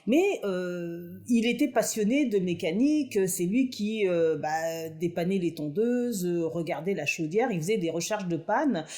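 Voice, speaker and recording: A woman's voice: fairly low pitch, lively intonation, a little slow, few pauses, mellow. A middle-aged woman from France answering interview questions at length, earnest and hesitant with many fillers. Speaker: aeronautics engineer and inventor.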